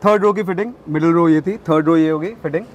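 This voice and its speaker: Calm company rep. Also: male voice, fairly high pitch, quick pace, a little hiss or hum. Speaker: company representative.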